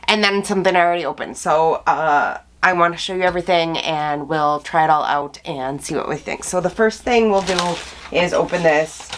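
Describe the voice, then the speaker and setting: A woman's voice: sits low, moderate pace, slight background noise. A woman chatting casually in her art vlog while sick and losing her voice, rambling and laughing between thoughts. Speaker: art vlogger. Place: home art studio.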